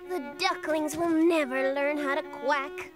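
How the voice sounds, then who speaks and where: A woman's voice: high voice, a little slow, music in the background. A woman voicing a flustered, dramatic female duck in a children's cartoon, exclaiming in a melodramatic way. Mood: distressed.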